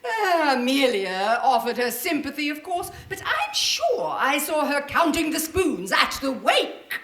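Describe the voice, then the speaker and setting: A high female voice with room echo, playing a self-interested daughter in a comic radio play. She is fawning and petty, with lively swings of pitch. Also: a little slow, full character voice. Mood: put-out. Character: fawning, self-interested married daughter Elizabeth, pettily indignant. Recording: roomy theatre stage sound.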